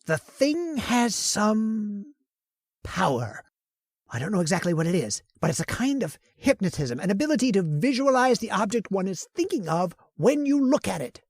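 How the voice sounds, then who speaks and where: A woman's voice: mid-range voice, very animated, moderate pace, darkish tone, no echo, clean studio sound. A woman playing an obsessive, arrogant male scientist who bosses his assistant around and sneers at him. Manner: bossy and domineering, gloats and sneers. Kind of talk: old-time radio horror acting.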